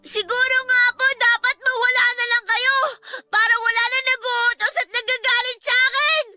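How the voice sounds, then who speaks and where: A woman's voice: very high voice, fairly even intonation, moderate pace, bright, forceful tone, no echo, soft background music, full character voice. A woman playing a sulky young daughter who talks back in a whiny, cheeky way in a radio drama. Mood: sulky, defiant.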